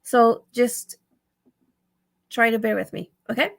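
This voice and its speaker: A woman with a fairly high voice and some creak in it walks viewers through a task. She sounds raspy, tired and dry-throated. Her delivery is casual and rambling, with thoughts said aloud and corrected as she goes. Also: fairly even intonation, slow. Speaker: vlogger giving reselling tips. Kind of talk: casual screen walkthrough tutorial.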